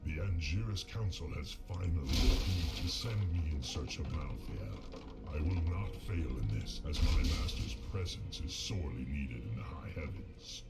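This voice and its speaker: A solemn heavenly envoy in a fantasy game. His voice is very deep and his phrasing formal and measured, with clear music underneath. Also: lively intonation. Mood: solemn resolve. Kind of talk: scripted fantasy game narration.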